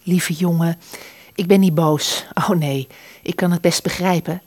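A bashful, motherly middle-aged shop woman in a radio drama. Her voice is lowish and breathy, soft and soothing. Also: fairly even intonation, a little slow. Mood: tender refusal. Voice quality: breathy, half-whispered. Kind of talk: radio play acting.

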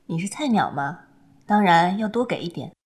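A woman's voice: fairly low pitch, lively intonation, moderate pace, husky. A woman playing a detached, pragmatic senior woman doctor who gives matter-of-fact advice in an amateur radio drama. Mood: brisk, pragmatic.

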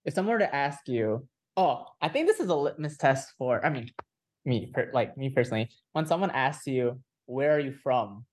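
A young man of twenty-three, born in Indonesia, co-hosting a podcast and talking in a relaxed way. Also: medium pitch, moderate pace. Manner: relaxed, says "you know" often. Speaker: podcast co-host. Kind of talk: casual chat.

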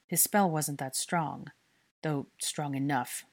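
A woman voicing a proud, guarded young wizard in an audiobook, with terse, sharp delivery. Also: fairly low pitch, moderate pace, no room sound, natural voice. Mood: flat, distant.

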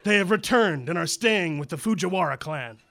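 A man's voice: sits high, lively intonation, fairly quick, creaky, sharp tone, exaggerated voice. A man playing a solemn, dutiful villain bent on revenge in an audio drama, formal and deferential. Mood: grave, vengeful.

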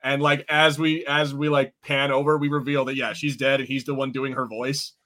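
A man's voice: medium pitch, little pitch movement, moderate pace, pushed and bright. Laid-back male podcast co-host. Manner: laid-back, laughing, puts on quoted voices. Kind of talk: casual chat reading live chat comments.

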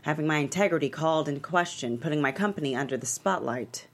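A woman's voice: deep voice, fast, slight background noise. A woman playing a commanding, defiant talk show queen in her forties, imperious and indignant, at a script table read. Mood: resentful.

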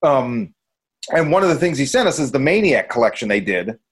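A man's voice: mid-range voice, big pitch swings, fairly quick, husky. Enthusiastic, rambling man hosting a podcast. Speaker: podcast host. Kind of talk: casual review chat.

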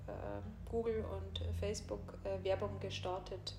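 A woman's voice: medium pitch, level tone, a little slow, creaky, background noise. A woman coach with a German regional dialect, speaking openly and laughing easily, with many fillers. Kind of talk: casual conversation. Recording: echoey room.

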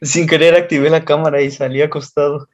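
A young man with a Mexican accent chatting casually with friends, relaxed and slangy, laughing often. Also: on the high side, a little fast, lo-fi sound. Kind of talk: casual chat among friends.

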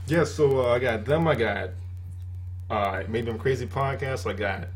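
A man's voice: medium pitch, normal speed. Slangy, laid-back male podcast host. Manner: loose, slangy, rambling talk. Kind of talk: casual chat.

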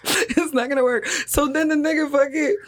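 A woman with a naturally deep voice, hoarse from smoking hookah, telling blunt, animated stories in a casual chat. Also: normal speed. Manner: blunt, animated, laughs often. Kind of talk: casual chat, explicit storytelling.

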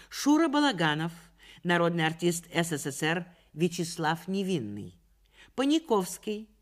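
Expressive, lowish-voiced female radio announcer. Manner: formal, deliberate enunciation. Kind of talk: formal scripted radio credits.

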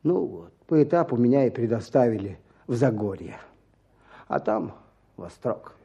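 Weary peasant, radio drama, gravelly, mellow, halting, plaintive, rustic. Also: male voice, mid-range voice, very animated, muffled recording, heavily acted. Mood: bitter, weary. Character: weary peasant petitioner, back from prison, aggrieved and stubborn. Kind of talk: Russian radio drama acting.

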